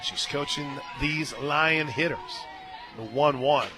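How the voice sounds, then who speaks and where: A man doing live radio play-by-play commentary of a softball game as the announcer. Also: fairly high pitch, slow, rough recording. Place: outdoor softball stadium radio booth. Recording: stadium crowd noise and music behind. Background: crowd and music.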